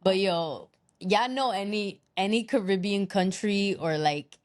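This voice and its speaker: A woman's voice: mid-range voice, a little slow, no echo, studio quality. A woman co-hosting a podcast, chatting casually with loose slang and free swearing. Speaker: podcast co-host. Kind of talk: casual chat.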